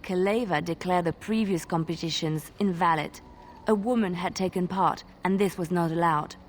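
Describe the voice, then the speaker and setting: A gentle young female bard voiced by an actress speaks in a slightly low voice. She delivers her fantasy game lines like a practised teller of tales, measured and expressive, over faint background noise. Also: close to an everyday voice. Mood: earnest storytelling. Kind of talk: video game dialogue, fairy-tale storytelling.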